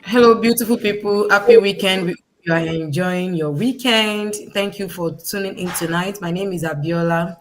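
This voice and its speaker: A woman's voice: mid-range voice, normal speed, hardly any pauses. A woman presenting on a livestream, greeting viewers and introducing herself.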